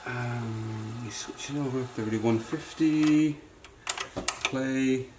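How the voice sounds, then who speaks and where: A man's voice: mid-range voice, fairly even intonation, extremely slow, over music. A man giving a casual, unscripted hands-on repair demonstration, thinking aloud as he works as the host. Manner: muttering to himself while working, thinking aloud. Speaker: repair technician and channel host.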